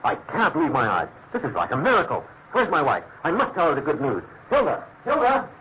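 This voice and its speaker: Radio drama minister: English, excitable, fussy, rapid, swooping mid-range voice. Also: male voice, fairly high pitch. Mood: astonished, elated. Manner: proper, fussy, exclamatory. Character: excitable, worried English government minister and husband. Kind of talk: classic radio drama acting. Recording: thin phone-like radio sound, clear noise.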